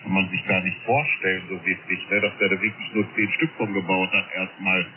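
A man with a lowish voice is heard over a noisy shortwave link. He chats casually as a ham radio operator, with clear static around his words. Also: fairly even intonation, brisk, narrow, tinny audio. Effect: shortwave radio transmission.

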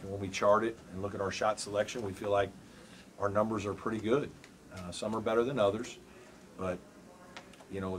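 A man in his forties, a college basketball coach, answering questions at a postgame press conference in a measured, thoughtful way with many fillers. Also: deep voice, a little hiss or hum. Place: press room before a backdrop. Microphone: table mic on a stand.

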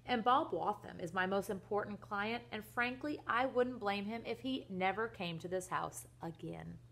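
A woman's voice: medium pitch, normal speed, gravelly, a bit bright, voice pushed up. A woman acts out a storybook father at the end of his patience. He is grumpy and indignant, leaning hard on his words and stretching them out for emphasis. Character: grumpy, exasperated father scolding about his son.

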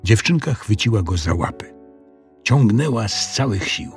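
A man narrating a children's story in an expressive, scripted storytelling style. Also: lowish voice, normal speed, faint music behind.

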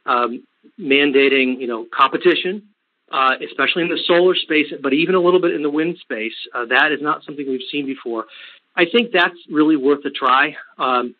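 A man speaking for an environmental group, answering off the cuff on a telephone conference call in a measured, halting way. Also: mid-range voice. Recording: narrow, tinny phone line.

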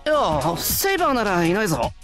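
An earnest teenage boy from an anime game. His delivery is animated and awkward, as if forever dodging a question. Music is clearly heard underneath. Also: high voice, brisk. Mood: dry composure. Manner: awkward, evasive. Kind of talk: Japanese anime-style game voice acting. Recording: loud background music under voice.

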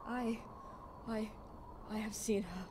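A terrified old shepherd, played by a woman, trembling and halting in a radio play. Faint ambient noise sits behind the voice. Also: mid-range voice, fairly even intonation, natural voice. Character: old shepherd man, half dead with fright. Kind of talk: radio drama acting.

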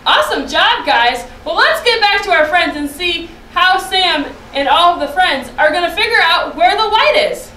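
A woman's voice: extremely high pitch, animated, moderate pace, few pauses, pushed and bright. A young woman hosting a children's puppet show, addressing kids between scenes from a little way off the mic indoors. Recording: roomy echo, distant mic, faint noise.

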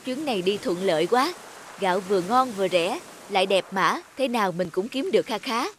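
A woman's voice: mid-range voice, animated, a little fast, a bit bright, slight background noise, natural voice. A woman playing a selfish, calculating male rice trader who mutters to himself in a cartoon fable. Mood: sly, pleased. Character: scheming, selfish male rice trader, self-pitying when beaten.

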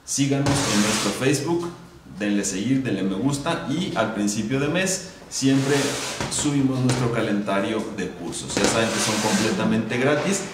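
A man's voice: lowish voice, lively intonation, normal speed, noisy background. A man co-hosting a live cooking class, chatty as he reads viewer questions and promotes the channel. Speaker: livestream co-host reading viewer comments. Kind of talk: casual live stream hosting. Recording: echoey kitchen livestream.

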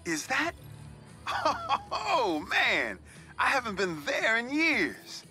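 A man's voice: very high voice, huge pitch swings, very slow, sharp tone, faint music behind, full character voice. An actor playing a genial middle-aged New York newspaperman with an American accent, telling nostalgic, reflective stories in a video game. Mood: nostalgic amusement. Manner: storytelling, reflective. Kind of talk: game voice acting, conversational monologue.

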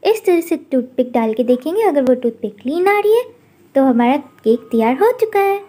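High-pitched woman narrating a recipe. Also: normal speed. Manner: calm instructional narration. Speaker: cooking channel host. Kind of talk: step-by-step recipe voice-over.

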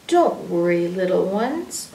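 A woman voicing a gentle, patient mother animal in a storybook, soothing and explaining things to her young one. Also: fairly low pitch, big pitch swings, slow, gravelly, voice pushed down, exaggerated voice. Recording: roomy echo, distant microphone.

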